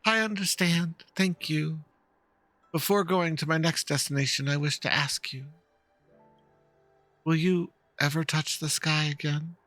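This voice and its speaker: A man's voice: fairly high pitch, fairly even intonation, measured pace, sharp tone, no echo, soft background music, pitched above the performer's own voice. A man voicing a gracious, formal and wistful woman in a dramatic reading of game dialogue. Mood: wistful, solemn. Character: gracious, wistful adult woman, a dignified mother.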